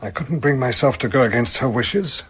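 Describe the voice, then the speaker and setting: An actor in a radio drama playing an ailing, remorseful old British army colonel, speaking formally and haltingly in a husky, breathy voice. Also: male voice, medium pitch, poor audio. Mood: sombre. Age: older.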